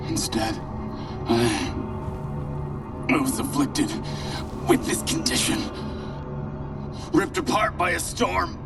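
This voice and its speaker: A man's voice: fairly high pitch, huge pitch swings, slow, vocal fry, pauses often, distant mic, loud music behind the voice, full character voice. An actor playing a tormented man, cursed and transformed by power, who speaks in an anguished, mournful way.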